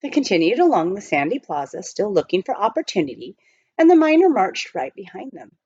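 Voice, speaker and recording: A woman reading a children's novel aloud in an expressive storybook narration style. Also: mid-range voice, fairly quick, husky, no echo, lo-fi sound.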